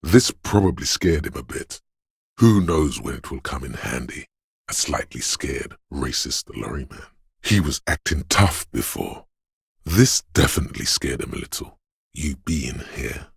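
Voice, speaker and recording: An actor voicing a menacing inner voice of fear and violent aggression in a video game, brooding and theatrical, speaking in the second person. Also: male voice, expressive, dry recording, clean studio sound, full character voice. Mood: tense urging. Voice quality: very deep, gravelly, husky. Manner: brooding, theatrical, deliberate delivery with ominous weight.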